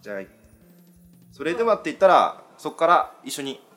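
Man hosting radio talk with lively pitch. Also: a little fast, soft background music. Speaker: radio show host. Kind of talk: casual radio talk with announcements.

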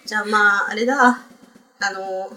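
A woman's voice: mid-range voice, steady tone, normal speed, heavy background noise. A woman hosting a casual group radio talk, often laughing as she speaks. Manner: laughs often while talking. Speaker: radio show host. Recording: background music under the talk. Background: music.

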